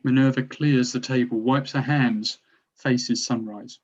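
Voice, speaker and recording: A man's voice: medium pitch, fairly even intonation, a little slow, highs cut off. A man narrating stage directions from a script at a drama table read.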